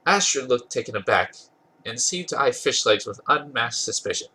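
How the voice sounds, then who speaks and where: A man's voice: medium pitch, lively intonation, normal speed, bright, forceful tone, poor audio. A man with a congested voice doing an amused dramatic reading of a comic story, stumbling over words now and then and correcting himself. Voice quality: husky, congested. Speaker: podcast host reading fan fiction aloud.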